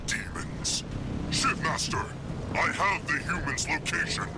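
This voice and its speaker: An actor playing a menacing, pompous alien warrior in a military video game. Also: male voice, a little high, very animated, takes it slowly, heavy background noise, exaggerated voice. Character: menacing, pompous male alien warrior defying human enemies. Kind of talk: video game villain acting.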